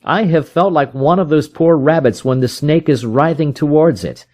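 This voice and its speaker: A man's voice: fairly high pitch, moderate pace, warm tone, pitched above the performer's own voice, lightly acted. A man voicing a helpless, frightened young client in an audiobook, with earnest and formal Victorian diction. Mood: helpless, despairing. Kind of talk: audiobook character voice in a detective story.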